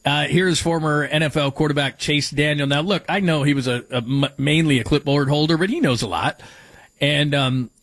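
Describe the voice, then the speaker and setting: A man's voice: fairly high pitch, moderate pace, a bit bright, no room sound. A man hosting a sports radio show, talking casually and rambling, joking with his co-host and laughing at his own bits.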